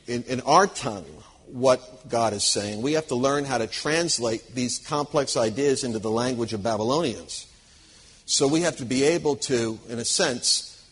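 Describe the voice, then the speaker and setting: Husky-voiced man teaching a church audience. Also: medium pitch. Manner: earnest, emphatic and conversational, with frequent restarts.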